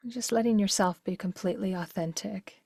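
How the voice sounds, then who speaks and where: A woman leading a guided meditation in a gentle, unhurried, soothing way, with phrases that soften into breathiness. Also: mid-range voice, creaky, no room sound, clean studio sound.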